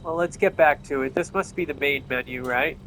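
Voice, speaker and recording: A man's voice: a little high, a little fast, noisy background. A man giving casual gameplay commentary as host, thinking aloud and speculating as he goes. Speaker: gamer host of a gameplay video.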